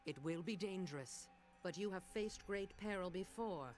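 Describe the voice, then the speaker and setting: A woman's voice: fairly low pitch, a little mellow, lightly acted. Woman as grave, commanding witch. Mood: urgent, commanding. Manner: ominous, deliberate, portentous. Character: mysterious, grave middle-aged witch, coolly commanding. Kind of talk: fantasy video game voice acting. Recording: music behind.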